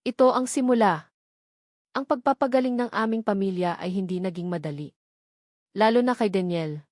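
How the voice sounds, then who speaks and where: A woman's voice: mid-range voice, normal speed, darkish tone, no echo. Dry-sounding woman narrating a story. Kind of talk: scripted dramatic narration of a love story.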